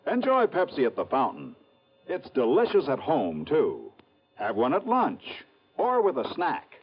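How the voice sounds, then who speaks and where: A dull old radio recording with faint music features a cheerful, easygoing pitchman. His husky voice swoops widely through a vintage commercial delivery. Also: wildly swooping pitch, measured pace, exaggerated voice. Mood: upbeat selling. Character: cheerful, easygoing male pitchman in a soft-drink ad.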